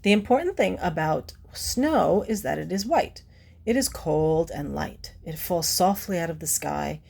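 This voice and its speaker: Low, husky woman reading to children. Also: lively intonation, measured pace, darkish tone. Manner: asks the listener questions, explains simply. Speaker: children's read-aloud presenter. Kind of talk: picture-book read-aloud with teacherly asides to children.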